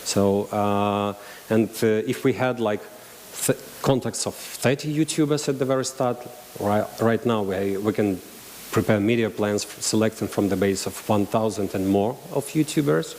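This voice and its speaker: Low-voiced man, Russian accent, conference talk, long hall reverb, faint hiss. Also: normal speed, darkish tone. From Belarus. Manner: hesitant, many fillers and restarts. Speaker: conference speaker.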